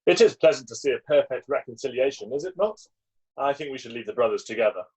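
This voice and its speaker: A man's voice: medium pitch, lively intonation, quick pace, darkish tone, breathy edge. A man playing a kindly, pompous Victorian clergyman with solemn, formal delivery in an amateur play reading. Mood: pleased. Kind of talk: amateur play read-through. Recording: dull, lo-fi video call audio.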